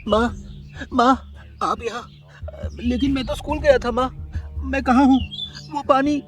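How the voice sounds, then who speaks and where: A little schoolboy crow for a cartoon, extremely high in pitch. He is timid and plaintive, speaking slowly. Music plays behind the voice. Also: fairly even intonation. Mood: frightened, tearful. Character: timid young schoolboy crow, easily frightened son. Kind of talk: cartoon character acting.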